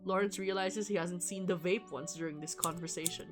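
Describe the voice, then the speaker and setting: A woman's voice: lowish voice, steady tone, brisk. A woman streaming a game, reading a visual novel aloud with casual, sweary, amused asides. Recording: music behind the voice.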